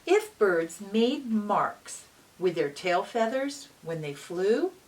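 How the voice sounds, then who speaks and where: A woman reading a picture book aloud to young children in a gentle, teacherly manner, often pausing to put questions to them. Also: medium pitch, big pitch swings. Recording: distant mic with faint hiss.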